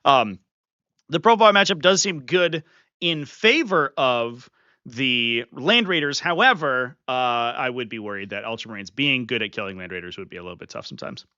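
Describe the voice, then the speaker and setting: Lively, bright-voiced stream host, sniffly. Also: male voice, mid-range voice, moderate pace, dry recording. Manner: animated, chuckling, filler-heavy. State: sniffly with a runny nose. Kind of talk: casual live strategy breakdown. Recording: slightly muffled, dry sound.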